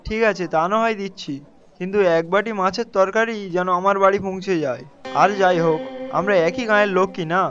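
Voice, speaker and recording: A man acts out an envious, falsely chummy fish seller for a cartoon folk tale. His voice is pitched well up for a male, theatrical and wheedling. It sounds slightly lo-fi over a music bed. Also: fairly even intonation, fast talker, fairly bright tone. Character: sly, envious village fishmonger, outwardly chummy. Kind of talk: animated folk tale dialogue. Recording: dull lo-fi sound, music underneath.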